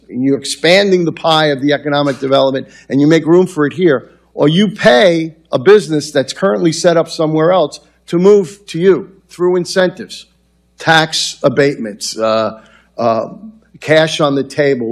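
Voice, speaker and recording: A middle-aged man with a New York accent speaks from a podium in a large council chamber. His voice sits a little high for a man, and he talks in a loose, conversational way, stumbling through fillers and restarts as he gestures. The sound comes from a podium microphone. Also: expressive, moderate pace. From New York City. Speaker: mayor presenting at a public forum. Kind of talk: public forum address. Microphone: podium microphone, far camera.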